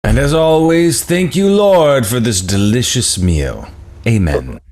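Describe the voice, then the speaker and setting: A man's voice: mid-range voice, sing-song, slow, a little mellow. An actor reading a cheery, pious father at a family dinner, with a hearty, folksy delivery. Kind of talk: table read of a screenplay.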